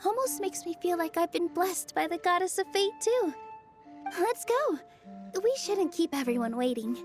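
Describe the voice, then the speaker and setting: A woman playing a gentle, earnest young female magician in a video game, soft and polite in manner. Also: extremely high pitch, expressive, moderate pace, over music. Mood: nervous, grateful. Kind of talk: animated video game acting.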